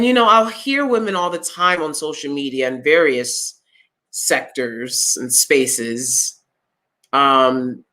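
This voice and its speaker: A woman with a loud, clear voice giving her opinions in a casual vlog, blunt and emphatic as she speaks straight to viewers. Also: extremely low pitch. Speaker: YouTube commentator.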